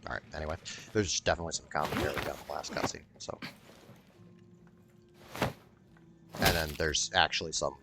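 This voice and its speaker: A man's voice: a bass voice, fairly even intonation, normal speed, vocal fry, long pauses, over loud music. A man giving casual, rambling gameplay commentary in a blunt, sweary way. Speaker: gaming video creator.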